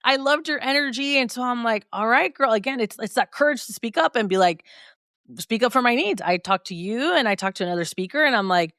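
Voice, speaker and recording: A woman guest talks through her journey in a relaxed, reflective way. She is upbeat and laughs lightly as she speaks. Her voice is smooth and clear, recorded dry and close. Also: a little high, a little fast, no echo. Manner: reflective and upbeat, laughs often mid-sentence. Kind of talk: conversational interview.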